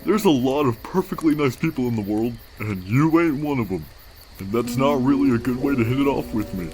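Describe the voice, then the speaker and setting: A man's voice: medium pitch, lively intonation, fairly quick, lightly acted, poor audio. A man voicing a laid-back, weary skeleton monster with a dry, slangy, protective manner in a comic dub, over music. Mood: dry, menacing. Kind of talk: amateur comic dub acting.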